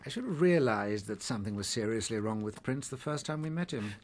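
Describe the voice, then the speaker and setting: Guy doing an earnest doctor sidekick in a radio play, kind and sober, pitch moves around a lot. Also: animated, a little mellow, slight background noise, close to an everyday voice. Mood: sober, regretful. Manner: measured, earnest, regretful. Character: earnest, humane male doctor, the detective's sober companion. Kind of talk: radio drama acting.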